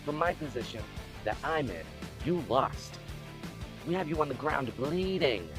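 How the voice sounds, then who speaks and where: A man's voice: a little high, lively intonation, a little slow, over music. Man playing a smug gang heavy, swooping delivery. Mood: smug taunting. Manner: mocking, drawling rhetorical questions. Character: taunting male gang henchman boss, smug and cruel. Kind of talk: audio drama acting.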